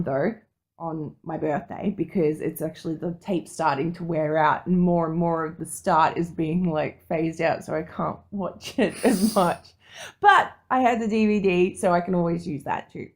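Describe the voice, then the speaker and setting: A woman chatting casually as a podcast panelist, with an excitable, gushing, informal way of talking. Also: mid-range voice. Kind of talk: casual chat.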